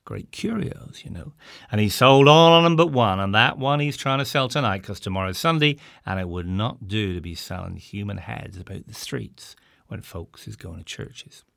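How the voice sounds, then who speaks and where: A man voicing a wry older male innkeeper who talks in a folksy, rustic drawl, often low and hushed. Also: normal speed, vocal fry, no echo, clean studio sound. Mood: teasing. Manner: rustic drawl with dropped words.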